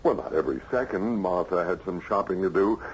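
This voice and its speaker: An actor playing a troubled, weary husband in an old-time radio drama, with a theatrical, intense delivery. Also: male voice, low voice, fairly quick, natural voice. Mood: weary, wary. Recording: dull, noisy old radio recording.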